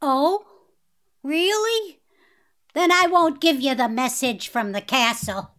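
Bright, scatterbrained elderly fairy. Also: female voice, high voice, slow. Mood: dim, cheerful. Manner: naive, easily sidetracked. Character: scatterbrained, bubbly elderly female fairy, fly-sized. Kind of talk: fairytale audio drama acting.

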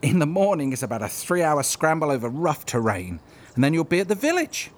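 High-pitched male nervous guide, hugely animated, whiny, stammering, eager to please, comedic audio drama. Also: fairly quick. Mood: grudging. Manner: whiny, stammering, rambling excuses. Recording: clear outdoor water ambience behind.

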